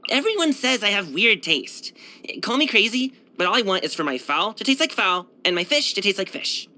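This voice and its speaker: A man's voice: high voice, big pitch swings, moderate pace, pushed and bright, full character voice. A man voicing a brash, cheerful young martial arts dancer and guard in a video game, chatting casually in a slangy, boyish, self-assured way. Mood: playful, warm. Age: young adult. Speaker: video game character voice actor. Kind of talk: casual, conversational game voice lines.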